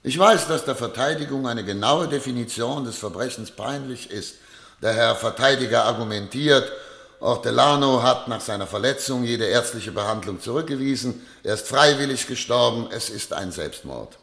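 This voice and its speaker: A man playing a self-assured former prosecutor, now a judge, in a German radio play, with deliberate, oratorical delivery. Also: medium pitch, vocal fry, fairly bright tone. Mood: oratorical, sarcastic. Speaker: actor in radio drama.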